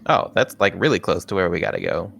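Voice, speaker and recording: Gravelly low-voiced man in casual game chat. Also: sing-song, fast talker, a bit bright, a little hiss or hum. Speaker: tabletop game player.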